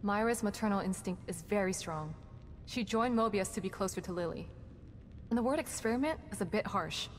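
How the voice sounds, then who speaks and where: A woman playing a composed, professional staff psychologist in a horror game, measured and matter-of-fact. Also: lively intonation, close to an everyday voice. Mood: calm, measured. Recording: reverberant hall with rumbling noise.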